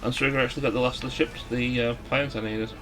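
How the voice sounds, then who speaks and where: A man giving casual, somewhat halting video game commentary as a let's play host. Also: mid-range voice, steady tone, noisy background. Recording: music behind the voice. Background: game music.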